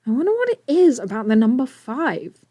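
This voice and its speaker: A young woman playing an eager, brainy teenage inventor hero in an audio drama, geeky and expressive. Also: fairly high pitch, brisk, slightly rough, dry recording, clean studio sound. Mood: curious, chatty.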